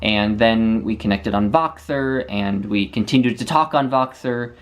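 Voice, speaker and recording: A man's voice: on the low side, unhurried. A man from the United States, a book video maker, talking through viewer questions in a casual, thoughtful way with frequent small restarts. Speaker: booktuber answering viewer questions. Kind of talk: casual Q&A monologue.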